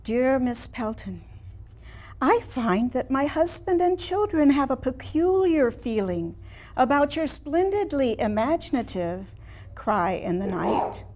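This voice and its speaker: A woman's voice: high voice, big pitch swings, slow pace, a little mellow, voice pushed up, heavily acted. A woman in her sixties voicing a polite, gushing society lady in a genteel, emphatic reading of a letter on stage. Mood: gushing, apologetic. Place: small room in a house before a seated audience.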